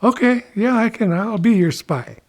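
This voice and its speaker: Folk-tale turkey, played by a male storyteller: skeptical, questioning, husky, fairly high with lively swings. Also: moderate pace. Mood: agreeing. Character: puzzled turkey recruit, skeptical, willing to spy.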